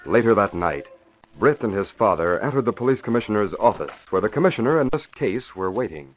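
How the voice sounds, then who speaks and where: A man's voice: fairly low pitch, very animated, brisk, a little hiss or hum. An American man announcing and narrating in 1950s radio style, with polished, emphatic salesmanship. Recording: dull vintage radio broadcast.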